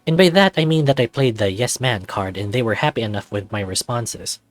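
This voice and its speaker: A man's voice: mid-range voice, brisk, no room sound. A young man reading first-person visual novel narration in a cocky, wry, conversational manner.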